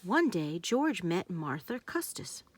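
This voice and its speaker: A woman narrating a children's storybook, reading aloud in simple, deliberate phrases meant for young listeners. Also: fairly low pitch, big pitch swings.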